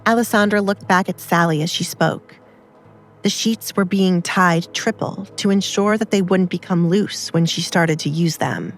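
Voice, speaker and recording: A woman's voice: fairly low pitch, normal speed, soft background music. A woman narrating an audiobook drama in a scripted storytelling style.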